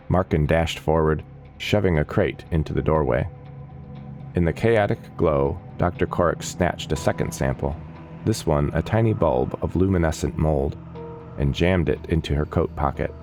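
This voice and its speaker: A man reading scripted fantasy story narration in a measured, descriptive manner. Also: extremely low pitch, a little mellow. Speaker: audiobook narrator.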